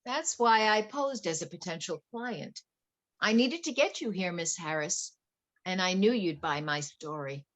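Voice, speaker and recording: A woman's voice: lowish voice, expressive, unhurried. A woman playing a betrayed wife turned coolly vengeful poisoner in a dramatic stage play reading. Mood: cold, accusing. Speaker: actor in a play workshop.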